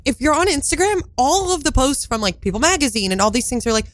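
A woman's voice: high voice, sing-song, quick pace, no echo. Congested woman co-hosting a radio chat. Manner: chatty, laughs often, wanders off mid-thought. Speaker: radio co-host. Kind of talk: casual pop culture chat. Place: radio studio.

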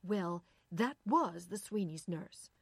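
A woman's voice: fairly high pitch, very animated, normal speed, no room sound, pitched above the performer's own voice. An anxious, curious wife from an audiobook story, acted by a woman. She speaks emphatically in a slightly raised voice, insistent and easily astonished, with a dry sound.

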